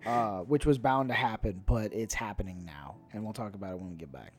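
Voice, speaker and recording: A man co-hosting a casual nerd-news podcast, talking in a halting way and often stumbling over his words. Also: fairly low pitch, over loud music. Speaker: podcast co-host.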